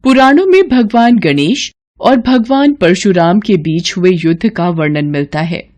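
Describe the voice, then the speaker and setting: A woman's voice: on the low side, animated, unhurried, darkish tone. A woman narrating a scripted devotional story as a storyteller.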